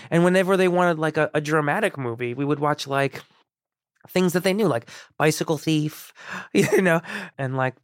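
A man who grew up in Iran and speaks Persian as his first tongue, sharing memories as a guest. His voice sits a bit high, and he rambles with many fillers and restarts. The sound is dry and close to the mic. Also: dry recording. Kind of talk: candid conversational storytelling.

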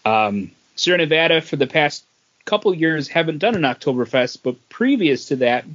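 A male podcast co-host talking through a casual review. He is measured, stopping to find words, at a middling pitch on slightly muffled audio.

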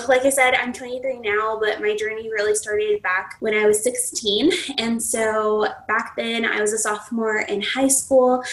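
A woman's voice: a little high, fairly bright tone, echoey room, rough recording. A woman in her twenties from New Mexico, a podcast guest telling her story in a bubbly, giggly way with many fillers. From New Mexico, USA. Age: 20s. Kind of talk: casual interview storytelling.

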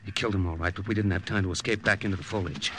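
Actor as a low-voiced, brash American agent. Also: male voice, fairly even intonation, racing, a loud crowd, lightly acted. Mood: tense narrating. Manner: punchy, hard-boiled storytelling. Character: brash, wry young American secret agent from the Bronx. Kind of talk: 1950s radio drama narration and dialogue.

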